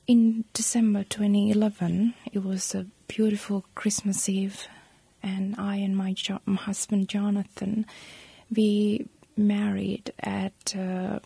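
A Sri woman in her thirties, a guest telling a personal account in an interview, speaking softly and haltingly, with many pauses. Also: medium pitch, level tone, no room sound. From Sri Lanka. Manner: soft, halting, breathy delivery with many pauses.

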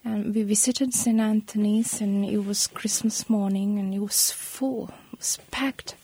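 A Sri woman in her thirties, a guest telling a personal account in an interview, speaking softly and haltingly, with many pauses. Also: medium pitch, steady tone. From Sri Lanka. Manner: soft, halting, breathy delivery with many pauses.

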